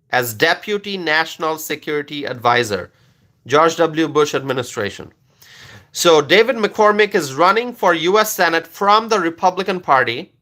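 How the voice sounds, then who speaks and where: Bright-voiced man, emphatic livestream commentator. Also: a little high. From Bangladesh. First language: Bengali. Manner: repetitive, emphatic, checks in with "okay?", answers chat questions. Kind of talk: live stream political commentary.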